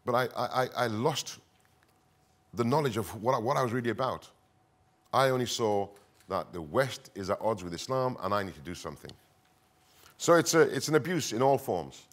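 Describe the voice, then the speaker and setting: A man's voice: mid-range voice, animated. Earnest man giving a talk. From northern England. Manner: earnest, emphatic repetition, deliberate short phrases. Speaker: conference speaker, counter-extremism expert. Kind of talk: conference presentation, semi-improvised public speaking.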